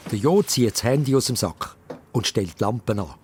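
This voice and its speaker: A man's voice: mid-range voice, expressive, normal speed, a little hiss or hum. A man with a Swiss German accent narrating a children's radio play in a dramatic storytelling style.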